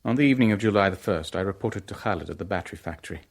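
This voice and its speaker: Low-voiced, wary businessman. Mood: measured, uneasy. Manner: measured, polite, understated. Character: composed, wary businessman and shipowner narrating his ordeal. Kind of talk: radio drama narration and dialogue.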